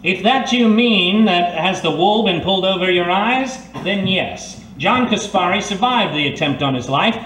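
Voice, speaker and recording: Earnest private eye, echoey. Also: male voice, high voice, a bit bright. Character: reformed-thief private eye, earnest and resolute. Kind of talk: old-time radio detective drama acting. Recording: echoey, distant mic, faint noise.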